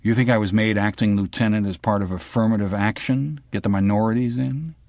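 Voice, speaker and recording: A man reading aloud and voicing a guarded police lieutenant who answers in dry, deadpan replies. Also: on the low side, fairly quick, no echo, lightly acted.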